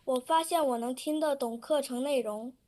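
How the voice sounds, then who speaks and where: A woman reading a prepared public statement in steady recited sentences. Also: sits high, normal speed. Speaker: student public speaker.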